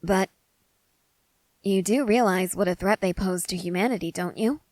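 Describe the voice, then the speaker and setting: An eager, intensely curious scientist-soldier , played by a woman, in an ASMR roleplay. The voice sits at a middle female pitch and talks in wordy, analytical tangents. The recording is dry and free of room sound. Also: moderate pace, a little mellow, no room sound, lightly acted. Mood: earnest, intrigued. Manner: analytical, rambling, wordy and precise. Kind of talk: scripted ASMR character roleplay.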